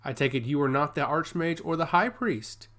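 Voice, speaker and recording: A man's voice: a little high, animated, moderate pace, fairly bright tone. A man voicing a purposeful island envoy who speaks politely and directly, with some impatience. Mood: curious.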